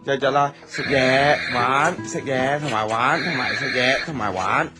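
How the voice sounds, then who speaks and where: A man's voice: mid-range voice, a little slow, natural voice. A man playing a naive, childlike younger boy in an audio drama, whiny and earnest in manner. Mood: innocent, affectionate. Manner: whiny, simple-minded, earnest. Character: naive, childlike younger boy who adores his big brother. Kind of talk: Cantonese audio drama acting. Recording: guitar music behind.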